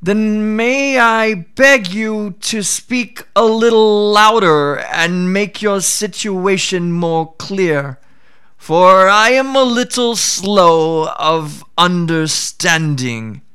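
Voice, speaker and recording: A man voicing a sly, clever little male jackal who feigns slow puzzlement, stretching his words. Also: sits high, pushed and bright, clear, resonant tone, voice pushed up, exaggerated voice.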